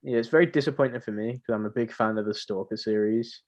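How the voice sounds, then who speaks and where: A man's voice: medium pitch, quick pace, a little mellow, highs cut off. A seventeen-year-old young man co-hosting a podcast, chatting casually about games in an informal, slangy, jokey way. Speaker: podcast co-host.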